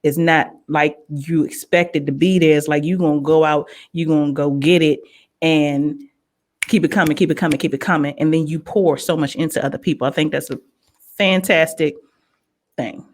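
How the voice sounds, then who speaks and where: A woman's voice: sits low, fairly even intonation, normal speed. A woman with an American accent, hosting a radio interview in a casual, conversational style. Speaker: radio show host.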